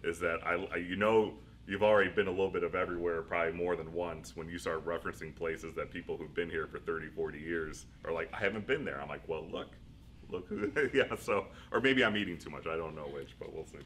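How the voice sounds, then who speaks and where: A man's voice: low voice, fast talker, a bit bright, a little hiss or hum. A middle-aged man, a school district leader, answering interview questions in a rambling, self-deprecating way with frequent laughs.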